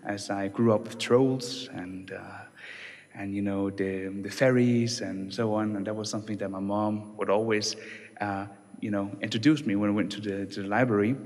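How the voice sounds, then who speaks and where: A man's voice: lowish voice, moderate pace. Young Danish-born male researcher, chatty conference talk, church-hall reverb. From Denmark. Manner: relaxed, conversational, many fillers and restarts. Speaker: doctoral researcher giving a talk. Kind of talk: conference presentation.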